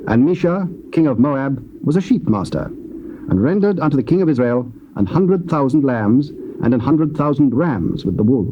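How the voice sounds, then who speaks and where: A man narrating scripture in a measured, solemn reading style. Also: medium pitch, animated, noisy background. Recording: muffled old cassette tape.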